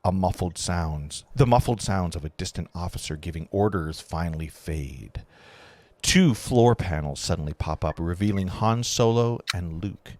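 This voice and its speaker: A man's voice: sits low, moderate pace, darkish tone, dry recording. A man narrating screenplay stage directions at a live table read, with casual asides.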